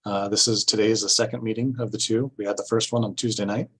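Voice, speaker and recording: A man giving an informational presentation at a public meeting, explaining things step by step in a halting way. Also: on the low side, flat delivery, slightly rough, low-quality recording. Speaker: city staff presenter at a grant orientation.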